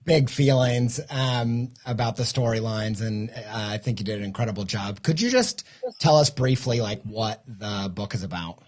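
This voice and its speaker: Excited man hosting a podcast. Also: fairly low pitch, normal speed. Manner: enthusiastic, rambling with restarts. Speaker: podcast host. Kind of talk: podcast intro and interview.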